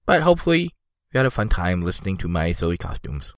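A congested, stuffed-up man rambles through a casual podcast monologue in a low voice. His talk drifts, full of "you know" and "like." The sound is dry, with no room echo. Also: very animated, quick pace. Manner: meandering, lots of "you know" and "like". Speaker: podcast host.

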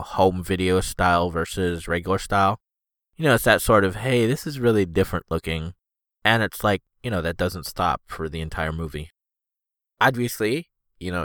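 A man's voice: low voice, a bit bright, no room sound, studio quality. A man hosting a solo podcast, rambling casually with lots of fillers, his voice stuffed up and congested. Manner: rambling, filler-heavy, easygoing. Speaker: podcast host.

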